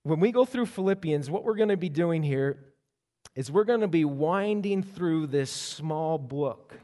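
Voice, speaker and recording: A man preaching a sermon as pastor, telling stories in an animated way with frequent restarts. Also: a little high, measured pace. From Canada. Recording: long hall reverb.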